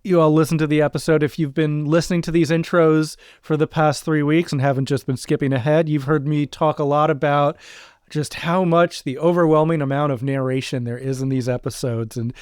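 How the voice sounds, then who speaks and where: Middle aged guy chatting on a podcast, crisp smooth voice, dry close sound. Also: a little high, flat delivery, fairly quick, darkish tone, no room sound. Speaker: co-host, audio drama producer and voice actor. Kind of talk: casual podcast chat.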